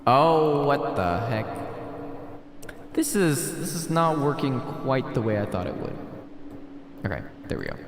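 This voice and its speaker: A man's voice: mid-range voice, sing-song, unhurried, pauses often, slight background noise. A man hosting a livestream, moving between rambling casual chat to himself and dramatic reading of a spooky story aloud. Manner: rambling, talks to himself while tinkering. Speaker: streamer reading a visual novel aloud. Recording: heavy added reverb and echo, music.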